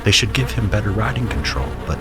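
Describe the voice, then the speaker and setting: A man playing a grim, observant conscript soldier who narrates an audio drama in a measured, solemn way. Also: lowish voice, animated, close to an everyday voice. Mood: grim, watchful. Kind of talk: first-person scripted audio drama narration.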